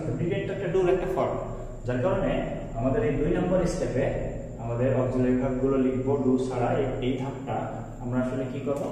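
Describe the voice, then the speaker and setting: A man's voice: mid-range voice, mellow, a little hiss or hum. A man with a Bangladeshi accent teaching grammar step by step, repeating points and asking questions that he then answers himself. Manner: repetitive, explanatory, posing questions and answering them. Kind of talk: step-by-step instructional lesson. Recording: very echoey room, distant microphone.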